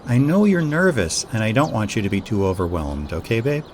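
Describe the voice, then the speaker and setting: A man acting as a gentle, reassuring young boyfriend in an intimate audio roleplay, speaking in a soothing, affectionate way. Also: lowish voice, sing-song, brisk, a little hiss or hum.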